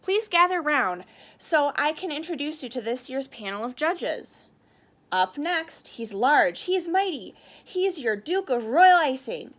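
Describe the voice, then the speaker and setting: Woman putting on an emphatic, high-pitched contest announcer. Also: big pitch swings, a little slow, fairly bright tone, exaggerated voice. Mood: grand announcing. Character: booming, theatrical male kitchen director announcing a contest.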